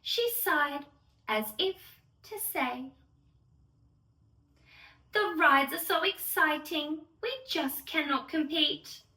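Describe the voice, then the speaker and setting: A woman playing a sweet, excitable young fairy who gushes in a sing-song way to little children as she hosts a bedtime story read-aloud. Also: sits high, slow pace, long pauses, full character voice. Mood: storytelling wonder. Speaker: children's storyteller and channel host. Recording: roomy echo, distant microphone.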